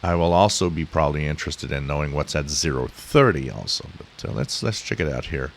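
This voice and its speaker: Very deep-voiced man explaining, hissy background. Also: a little fast, gravelly. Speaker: shortwave radio hobbyist, tutorial host. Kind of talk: informal explanatory screen-share tutorial. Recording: steady hiss behind the voice.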